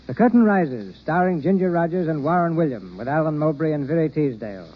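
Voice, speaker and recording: A man's voice: a little high, lively intonation, fairly quick, noisy background. A man hosting and narrating a 1930s radio play in a formal, theatrical manner. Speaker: host and narrator. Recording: dull old radio broadcast. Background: loud music.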